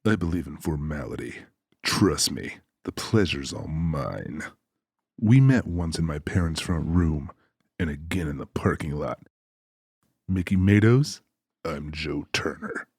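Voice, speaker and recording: A menacing male avenger from a crime audiobook, acted by a man, with a very deep, husky voice. He speaks deliberately and close to the mic in a dry space, with folksy, mock-polite formality. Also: lively intonation, darkish tone, dry recording, exaggerated voice. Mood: mock-polite, bitter. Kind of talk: audiobook character acting.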